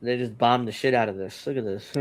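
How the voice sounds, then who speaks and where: A man's voice: mid-range voice, a little fast. A man hosting a casual panel discussion, speaking loosely with fillers and restarts. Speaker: show host.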